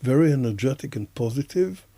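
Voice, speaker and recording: A man with an Israeli accent and a low-key, mellow tone that often crackles into fry. He talks like a reflective interviewer, feeling his way through roundabout sentences. The sound is close and dry. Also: lively intonation, a little fast, no room sound. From Israel and the United States. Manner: hesitant, roundabout phrasing with many hedges. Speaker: interview host, professor. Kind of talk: casual reflective conversation.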